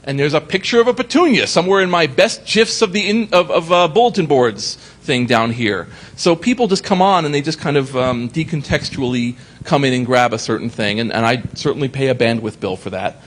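A man's voice: medium pitch, lively intonation, brisk, hardly any pauses. A man giving a conference talk, telling a story with profane comic asides and acted-out exchanges. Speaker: conference speaker. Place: conference hall.

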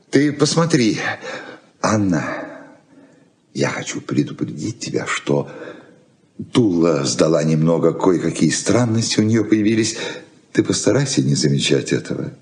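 A man's voice: lowish voice, animated, a little slow, long pauses, a little mellow. An older man acting a meek, henpecked old uncle in a 1980s Soviet radio play, hesitant and repeating himself. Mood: confiding.

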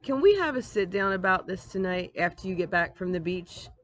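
A woman voicing a concerned, patient mother who reasons gently, in a storybook read-aloud. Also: on the low side, expressive, fairly quick, lightly acted. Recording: outdoor ambient noise, device microphone.

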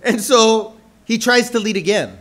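High-voiced playful young preacher. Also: male voice, unhurried. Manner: playful, joking, lively preaching. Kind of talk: sermon with live interpreter. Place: church hall, indoors.

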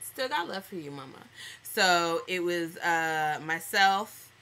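A young American woman telling stories in a casual vlog, with an animated, sassy, slangy manner, acting out reactions as she goes. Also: fairly low pitch, slow pace. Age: young adult. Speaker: vlogger. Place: small indoor room at home.

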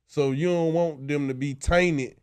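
A man talks through his point in a relaxed, reasoning way on a boom-arm mic. His voice sits somewhat high for a man. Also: unhurried, clear, resonant tone. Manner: laid-back, reasoning. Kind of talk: casual group chat. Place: small radio studio. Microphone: boom-arm studio mic.

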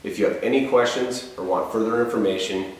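Low-voiced man presenting in echoey room. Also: fairly quick, slight background noise. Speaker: company product presenter. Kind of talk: product presentation and demonstration. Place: indoor workshop showroom. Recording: roomy echo, faint music behind.

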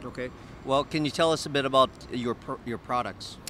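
A middle-aged man interviewing a guest on a city street, asking brief questions and adding quiet mm-hmms. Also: mid-range voice, fairly quick. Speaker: interviewer for an exchange's video series. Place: busy downtown street outdoors. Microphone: handheld mic. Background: faint city traffic.

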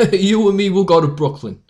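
Brash young newsboy leader, played by a woman, lively swooping delivery, streetwise. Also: medium pitch, moderate pace, lightly acted. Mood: rallying. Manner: brash, rallying, street slang. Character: cocky young male newsboy strike leader. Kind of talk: amateur audio drama acting.

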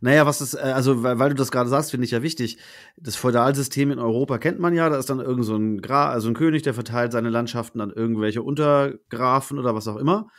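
A man's voice: medium pitch, fast. A German man co-hosting a history podcast, asking rambling questions and thinking aloud with hesitations. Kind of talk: casual history podcast chat.

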